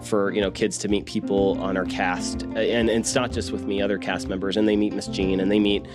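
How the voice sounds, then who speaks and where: Low-voiced man chatting over soft guitar. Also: level tone, a little fast. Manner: informal, self-described silly. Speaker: cast member and interview guest. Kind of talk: casual interview chat.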